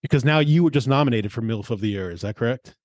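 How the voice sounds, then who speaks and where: A man's voice: medium pitch, fast, no echo, studio quality. A man hosting a podcast, chatting informally and agreeably with a guest. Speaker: podcast host interviewing a guest. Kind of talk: casual chat.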